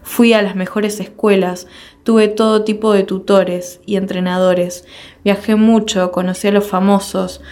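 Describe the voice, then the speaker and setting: A woman reciting a long first-person poem in a measured, declamatory way, full of rhetorical questions. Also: mid-range voice, little pitch movement, a little mellow. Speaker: poetry reader. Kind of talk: dramatic poetry recitation.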